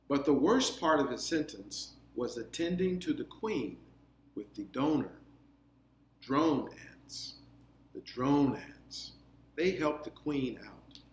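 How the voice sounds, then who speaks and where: A man with a mid-range voice reads a children's storybook aloud. He takes his time, stretching phrases and explaining deliberately. The room echoes and there is faint noise behind him. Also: mid-range voice.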